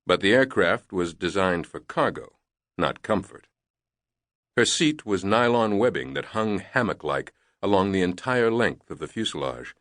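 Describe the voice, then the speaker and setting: A man narrating a thriller novel in a measured, deliberate reading on a close studio mic. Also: deep voice, dry recording. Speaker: audiobook narrator.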